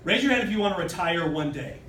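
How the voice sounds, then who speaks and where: Thirties male presenter with a high, punchy delivery, recorded at a distance in a hotel meeting room with faint hiss. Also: expressive, quick pace, fairly bright tone. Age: 30s. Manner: emphatic, keeps asking "okay?" and "true?", gestures broadly while standing. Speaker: sales presenter. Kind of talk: motivational sales pitch. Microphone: far phone camera in the audience. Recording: roomy, distant phone recording.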